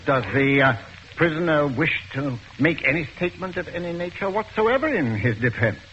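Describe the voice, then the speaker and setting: A man's voice: fairly high pitch, animated, fairly bright tone. A pompous judge intoning from the bench, his voice rising and falling widely through long formal sentences. The sound is muffled and old, with a thin hiss behind it. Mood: stern. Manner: pompous, measured, formal with hesitant uhs. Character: formal older male judge of a crown court. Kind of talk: 1940s radio acting. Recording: dull old radio recording, faint hiss.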